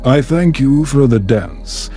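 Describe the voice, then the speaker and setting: A man voicing a guarded, polite young noblewoman in a bedtime fantasy story, quiet and reserved. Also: medium pitch, lively intonation, slow, soft background music.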